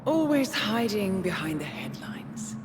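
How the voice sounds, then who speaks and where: A calm, calculating handler. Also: female voice, mid-range voice, very noisy. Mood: taunting. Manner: measured, intimate, quietly persuasive. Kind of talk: video game cutscene acting.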